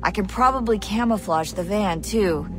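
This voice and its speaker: An actress playing a practical, cautious young tech specialist in a video game. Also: female voice, mid-range voice, moderate pace, lightly acted. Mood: wary, thoughtful. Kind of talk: video game cutscene acting. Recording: music under the voice.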